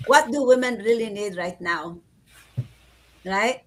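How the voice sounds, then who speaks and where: A woman's voice: medium pitch, takes it slowly, slight background noise. A woman hosting a casual livestream chat on a video call, laughing often and restarting her sentences. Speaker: livestream host.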